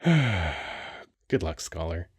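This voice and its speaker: A man playing a handsome, playful male sphinx guarding a temple in an ASMR roleplay, talking in a teasing, expressive, conversational way. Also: deep voice, drawn-out words, breathy edge, no echo, studio quality, exaggerated voice. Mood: gentle, teasing. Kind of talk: ASMR roleplay audio acting.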